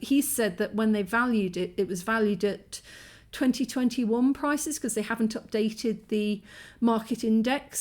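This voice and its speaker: A woman with a croaky, strained voice that sits a little high. She sounds drained from sleepless nights and talks in a frustrated, rambling way, full of ums and restarts. It is a candid vlog spoken straight to camera. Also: a little mellow. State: exhausted and sleep-deprived. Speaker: vlogger. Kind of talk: candid talking-head vlog.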